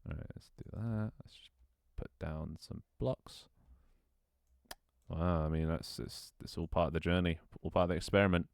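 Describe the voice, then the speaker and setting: A man's voice: deep voice, moderate pace, gravelly, dry recording, studio quality. A young man streaming a game, chatting casually with viewers and talking to himself as he sets things up. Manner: rambling, self-talk with frequent restarts and laughs. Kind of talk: casual live-stream chat while gaming.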